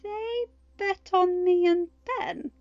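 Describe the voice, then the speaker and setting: A woman's voice: extremely high pitch, slow pace, darkish tone, no room sound, dull audio, soft background music, voice pushed up. A woman voicing a vulnerable teenage daughter with a scoffing streak, earnest and hesitant, in a storybook reading. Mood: astonished.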